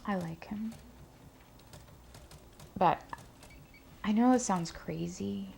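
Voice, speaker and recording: A woman's voice: mid-range voice, slow pace, vocal fry, darkish tone. A woman playing a doubtful, guarded character in audio drama dialogue, speaking in a hesitant, questioning way. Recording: faint background noise.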